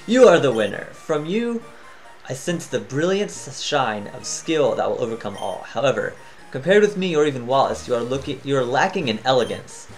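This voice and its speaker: A man's voice: medium pitch, animated, normal speed, soft background music, natural voice, poor audio. A man reading the lines of a vain, elegant male gym leader from a video game, in a grand, theatrical way. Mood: lofty.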